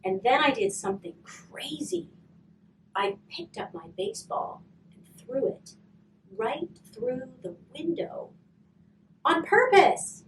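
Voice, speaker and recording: A woman reading a children's storybook aloud with expressive, emphatic narration and dramatic pauses. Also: high voice. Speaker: volunteer storybook reader. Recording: roomy, distant mic, faint noise.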